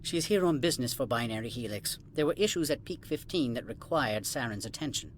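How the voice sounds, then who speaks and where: An actor playing a haughty alien corporate administrator in a video game, condescending, formal and clipped, dismissive of outsiders. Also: male voice, mid-range voice, animated, fairly quick, a little hiss or hum, close to an everyday voice. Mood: guarded, formal. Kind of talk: video game cutscene acting.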